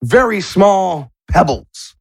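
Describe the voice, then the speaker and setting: Man, game master, slightly high pitch, animated delivery, tabletop role-play narration. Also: slow pace, vocal fry, breathy edge.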